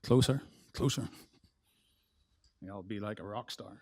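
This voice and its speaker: Lowish Northern Irish man giving a talk. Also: unhurried, vocal fry, slightly rough. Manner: relaxed, conversational, with fillers and restarts. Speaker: engineer presenting at a conference. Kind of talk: conference presentation. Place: conference hall.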